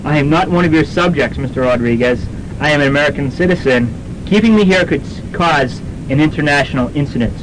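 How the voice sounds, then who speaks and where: A young man plays a dry, smug businessman in a radio comedy. The voice is a bit high and mockingly polite. The old student radio recording has loud rumbling noise. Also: lively intonation, fairly quick, lightly acted. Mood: haughty. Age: young adult. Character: dry, smug American businessman, mock-deferential. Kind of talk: campus radio comedy drama acting.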